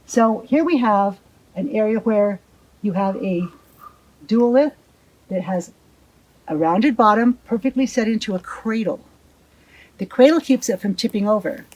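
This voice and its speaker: A woman speaks at a middling pitch as an on-site guide. She is outdoors, explaining the features around her in the manner of a presenter. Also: measured pace, pauses often, darkish tone, dry recording, poor audio.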